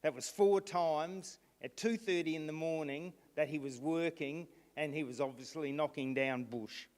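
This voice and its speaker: A man addressing a council meeting, recounting events haltingly with restarts. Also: on the high side. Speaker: resident speaking at council meeting. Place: council chamber. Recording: long reverb in a hall.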